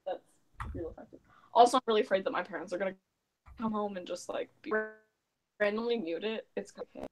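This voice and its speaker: Young woman, flat deadpan delivery, dry phone mic. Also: medium pitch, quick pace, no echo, rough recording. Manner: flat, self-described monotone, mumbling. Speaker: guest. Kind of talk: casual chat.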